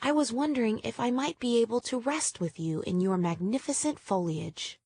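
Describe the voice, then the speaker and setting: A woman voicing a small, sick young female sparrow in a children's storybook, pleading gently and respectfully. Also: on the high side, normal speed, breathy edge, no echo. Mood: polite pleading.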